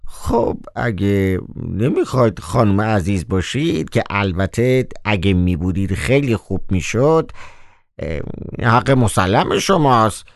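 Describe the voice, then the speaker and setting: A man's voice: on the low side, very animated, dry recording, studio quality. A man voicing a theatrical, mock-polite male trickster in an audiobook, drawling "ah" before his phrases. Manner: drawn-out, hesitant "ah" before phrases. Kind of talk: audiobook character voice.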